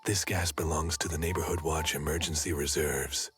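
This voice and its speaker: An actor playing a paranoid, self-important neighborhood watch man in a video game, raspy, terse and suspicious. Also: fairly even intonation, moderate pace, fairly bright tone, faint music behind. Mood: secretive, stern. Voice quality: very deep gravelly rasp.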